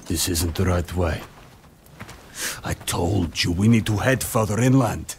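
A man playing an earnest, troubled warrior in a video game, speaking with gravelly intensity and urgency. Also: a little slow, slight background noise. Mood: agitated. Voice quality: low, gravelly. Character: earnest, troubled male warrior and chieftain's son, hot-headed. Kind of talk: dramatic game acting.

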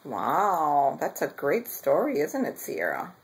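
A woman's voice: low-pitched, wildly swooping pitch, slow. A grandmother talking casually to a small child and pets in simple, praising, coaxing phrases. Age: middle-aged or older. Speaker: grandmother filming her granddaughter. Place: bedroom at home, indoors. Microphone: handheld camera, speaker behind it. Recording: faint background noise, home video.

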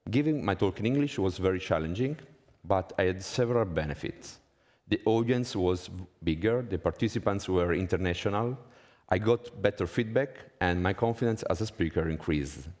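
A man with a low-pitched voice and an Italian accent delivers a prepared talk as a conference speaker. He speaks English as a second language in a measured way. The sound carries the long reverb of a large hall. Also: animated, darkish tone. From Italy. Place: conference hall stage, indoors.

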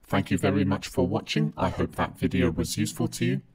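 A man whose voice is run through a pitch-shifted robotic filter, deep and raspy, speaking in a flat instructional way. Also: a little fast.

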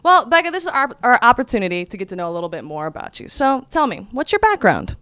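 A woman's voice: on the high side, sing-song, clear, resonant tone, dry recording. A young woman interviewing a candidate in an upbeat, friendly manner, holding a handheld mic in an indoor room. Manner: bright, upbeat, quick questions. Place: indoor room before a banner.

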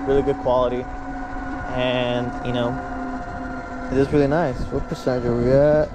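A man chatting casually to viewers in a laid-back way while riding a bike outdoors, as a vlogger. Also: mid-range voice. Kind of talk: casual ride-along vlog chat. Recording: loud wind and road noise.

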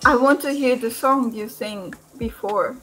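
A young woman gushes with breathless praise in a fairly high voice, taking her time over each word. She speaks through a webcam mic. A busy crowd-like noise sits clearly behind her. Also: unhurried, poor audio. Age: young adult. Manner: gushing, effusive, breathless with praise. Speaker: video chat stranger. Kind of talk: casual video chat. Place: room at home, indoors.

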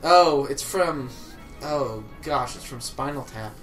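A man's voice: mid-range voice, takes it slowly, fairly bright tone, faint music behind. A young man co-hosting a let's play with casual, jokey, rambling banter. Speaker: let's play co-host playing the game.

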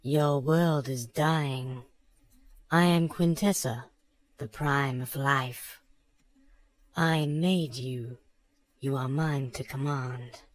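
A man voicing a solemn, imperious female alien robot queen from a film, through a pitched-up male-to-female voice changer. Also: fairly even intonation. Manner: portentous, deliberate.

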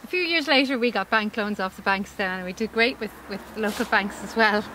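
A woman's voice: mid-range voice, a little fast, background noise. A woman in her forties from Ireland, an organic farmer talking upbeat and chatty in an outdoor interview, often restarting her sentences. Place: outdoors in a vegetable field. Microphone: camera mic, standing interview.

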